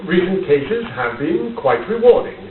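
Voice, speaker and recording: A man acting in a radio drama as a driven, weary, guarded gentleman detective, speaking in a formal, grave, deliberate and dramatic way. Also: sits high, very animated. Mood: grave, intense. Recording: tinny band-limited, roomy, distant, faint hiss.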